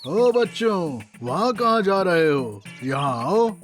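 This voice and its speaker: Woman putting on a low, sly male kidnapper. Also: big pitch swings, takes it slowly, faint music behind. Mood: commanding. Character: sly adult male kidnapper coaxing children. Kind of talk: children's cartoon voice acting.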